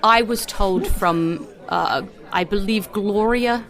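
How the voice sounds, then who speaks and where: A woman's voice: medium pitch, takes it slowly, a bit bright. A woman voicing a curt, reserved male innkeeper in a tabletop game, speaking formally and with care. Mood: cool, formal.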